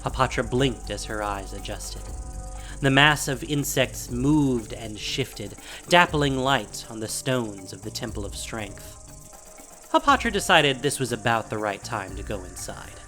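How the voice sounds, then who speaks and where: Male narrator, scripted fantasy drama, measured, dramatic pauses, medium pitch, faint music behind. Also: lively intonation, sharp tone.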